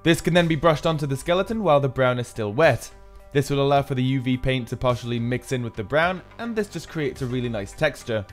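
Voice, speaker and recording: Higher-pitched man narrating a DIY build over music. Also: fast talker. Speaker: maker presenting a build. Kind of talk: scripted tutorial narration.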